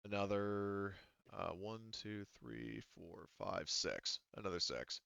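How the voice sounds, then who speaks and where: A man running a tabletop roleplaying game, explaining casually and haltingly as he thinks aloud. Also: on the low side, lively intonation, vocal fry, bright, forceful tone, no echo, dull audio. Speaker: game master.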